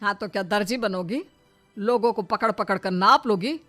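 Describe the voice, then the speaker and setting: A woman's voice: mid-range voice, moderate pace, bright, forceful tone, natural voice. A woman voicing a harsh, scornful older father-in-law who scolds, in a Hindi cartoon story. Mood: angry. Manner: scolding, contemptuous. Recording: music behind the voice.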